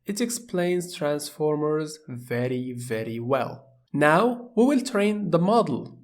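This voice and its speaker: A man's voice: on the high side, takes it slowly. Man explaining a coding course. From Morocco. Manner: plain, steady explaining, with small restarts. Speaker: course instructor. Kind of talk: scripted tutorial narration.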